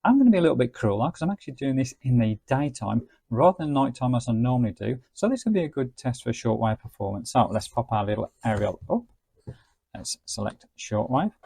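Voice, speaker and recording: A man's voice: mid-range voice, expressive, brisk, gravelly, dark tone, slightly rough, dry recording. A man presenting a product review as a narrated demonstration. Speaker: reviewer and presenter of gadget videos.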